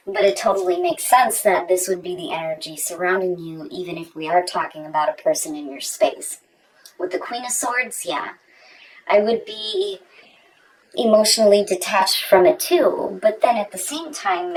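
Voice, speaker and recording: A woman's voice: medium pitch, distant mic, low-quality recording. A woman giving a conversational tarot reading straight to the viewer, repeating phrases for emphasis. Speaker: tarot reader.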